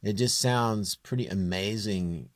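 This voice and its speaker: A man with a low, near-bass voice talking through a home music production tutorial in a halting way, thinking aloud as he works. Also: no room sound, clean studio sound. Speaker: home musician demonstrating his vocal production. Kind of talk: informal screen tutorial.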